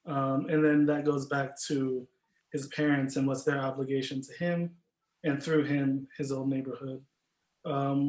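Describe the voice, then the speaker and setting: A man with a mid-pitched voice and very little melody, heard in a reverberant room. He talks casually and tentatively, working through ideas by posing questions. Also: darkish tone. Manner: questioning, informal, hedging. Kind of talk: casual panel discussion. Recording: echoey, reverberant room sound.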